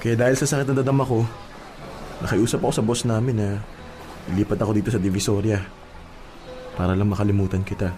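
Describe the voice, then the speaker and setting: A man's voice: on the low side, flat delivery, fast, pauses often, mellow, soft background music, lightly acted. Man acting an earnest, lovesick suitor. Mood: earnest, regretful. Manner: earnest, hesitant, pleading. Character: remorseful lovesick young suitor confessing his love. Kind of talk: radio drama acting.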